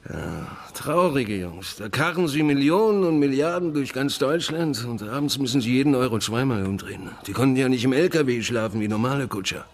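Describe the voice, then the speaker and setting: An actor playing a chatty, crude, sly eccentric in a crime radio drama, speaking colloquially and mockingly. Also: male voice, mid-range voice, expressive, fairly quick, few pauses. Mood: mocking, conspiratorial.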